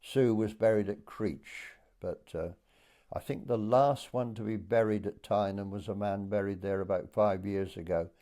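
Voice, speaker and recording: A man, reminiscing hesitantly with many pauses as he is interviewed. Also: on the low side, steady tone, dark tone. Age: late 80s. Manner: hesitant, pausing, unhurried recollection. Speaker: oral history interviewee. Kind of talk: reminiscing in conversation.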